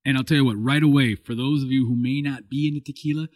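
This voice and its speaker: A man's voice: mid-range voice, quick pace, no echo, clean studio sound. A man from Los Angeles hosting a casual podcast, joking and restarting his sentences. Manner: animated, joking, many restarts. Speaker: podcast host. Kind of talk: casual chat.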